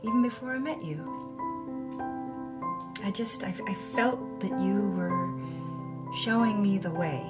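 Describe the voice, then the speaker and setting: An actress playing an earnest, admiring young granddaughter in an audio drama, speaking sincerely and a little haltingly. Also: female voice, mid-range voice, over loud music, natural voice. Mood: moved, grateful. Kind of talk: audio drama acting, conversational.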